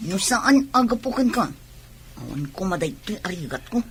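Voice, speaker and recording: A woman's voice: mid-range voice, sing-song, normal speed, background noise, lightly acted. A woman with a Filipino accent playing an unhappy young pregnant wife, complaining and sulky, in a radio drama. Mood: complaining, irritable. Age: young adult. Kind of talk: Ilocano radio drama acting.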